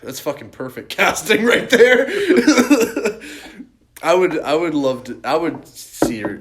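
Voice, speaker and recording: A man co-hosting a podcast in casual chat, animated and freely swearing. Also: on the high side, takes it slowly, background noise. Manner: profane, animated. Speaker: podcast host.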